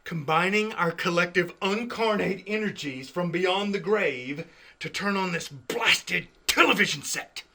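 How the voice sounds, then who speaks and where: A man's voice: high voice, unhurried, sharp tone, exaggerated voice. An actor playing a pompous, exasperated young male ghost in a comedy web series, speaking in wordy declamations. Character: theatrical, exasperated ghost of a young man named Keith. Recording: distant mic with music behind.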